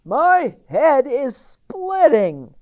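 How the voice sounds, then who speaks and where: A woman voicing indignant, complaining farm animals in a children's storybook, with loud, emphatic, drawn-out scolding. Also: high-pitched, big pitch swings, pitched above the performer's own voice, full character voice. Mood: pained complaining.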